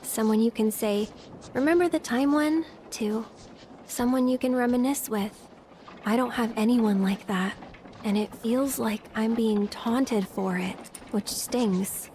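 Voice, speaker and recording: Game role: actress as sensitive, frank young woman, conversational, slightly high voice, faint ambience. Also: a little slow, darkish tone, slight background noise, close to an everyday voice. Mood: hurt, candid. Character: candid, sensitive young woman, gently teasing. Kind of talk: video game character acting.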